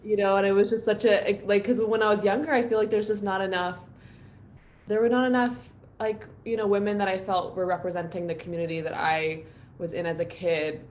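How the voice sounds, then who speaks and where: A woman's voice: mid-range voice, flat delivery, a little fast, darkish tone, a little hiss or hum. A woman from New York City, a guest musician, talking in a reflective, personal way with plenty of ums and likes. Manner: reflective, openly personal, many fillers. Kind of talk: casual live-stream interview.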